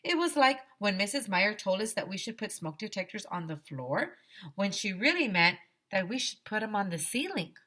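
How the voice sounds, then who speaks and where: A woman reads to children as their teacher, with a bright, forward voice. Her delivery is expressive and emphatic, moving widely up and down in pitch. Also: normal speed. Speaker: teacher reading aloud to children. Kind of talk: animated children's story read-aloud.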